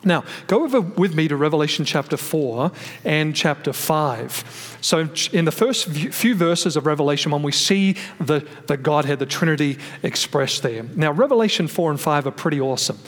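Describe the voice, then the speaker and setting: A man's voice: on the high side, animated, normal speed. A man preaching a sermon from his notes, explaining scripture in a teaching manner with frequent restarts, his voice carried by a microphone and speakers. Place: church hall with a sound system. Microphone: microphone through a PA system. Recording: long reverb of a hall.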